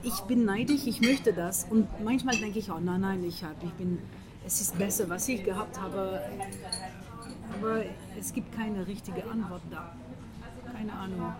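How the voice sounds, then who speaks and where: A middle-aged woman from France speaking German with a French accent, often searching for words in a casual breakfast conversation, with many pauses and fillers. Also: medium pitch, fairly even intonation, over a noisy crowd. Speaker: guest. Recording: breakfast table with a group chatting in the background.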